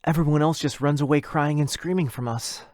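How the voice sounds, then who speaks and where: A man's voice: a little high, brisk, a little mellow, dry recording, close to an everyday voice. A man playing a lonely, possessive, rich vampire brother in a boyfriend ASMR roleplay, insistent and coaxing. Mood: anxious, pleading.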